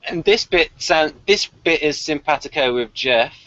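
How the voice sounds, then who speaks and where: Male review host, highish animated voice, dryly jokey read-aloud delivery, dead-room lo-fi audio. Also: moderate pace, no echo. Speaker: podcast host reading out his episode review. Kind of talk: casual review, reading notes aloud with asides.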